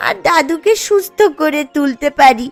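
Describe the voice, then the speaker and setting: A woman's voice: high voice, lively intonation, normal speed. A woman voicing a poor, earnest village girl who pleads, in a children's cartoon story. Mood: pleading. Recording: music playing under the voice.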